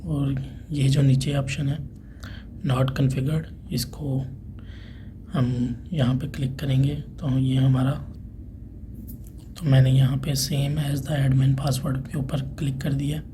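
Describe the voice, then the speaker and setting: A man's voice: mid-range voice, level tone, slow pace, pauses often, warm tone. A man giving a plain, unscripted, step-by-step hands-on tech tutorial as an installer. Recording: clearly noisy room ambience.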